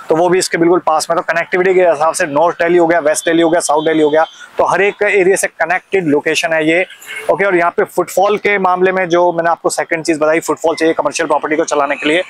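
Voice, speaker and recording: Male property consultant, slightly high voice, salesy, code-switching, faint hiss. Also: fairly bright tone, low-quality recording. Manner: Hindi mixed with English, frequent "you know" fillers; persuasive sales talk. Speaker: real estate consultant. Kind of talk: property pitch video.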